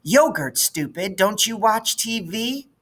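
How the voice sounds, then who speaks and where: A prissy, snotty schoolgirl, acted out by a man, pitched well up and scornful. It is a bright storybook character voice, full of disdain. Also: lively intonation, slow pace, exaggerated voice. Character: snotty, priss-faced fifth-grade girl.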